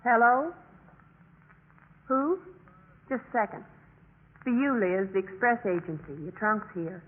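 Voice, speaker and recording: A woman's voice: mid-range voice, animated, unhurried, husky. An actress playing a wry, offhand young American college woman in 1950s radio drama style. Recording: tinny 1950s radio recording.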